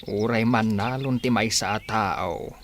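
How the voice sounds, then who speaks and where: A man's voice: fairly low pitch, measured pace, noisy background, natural voice. A man playing an earnest, exasperated village farmer father in a radio comedy drama.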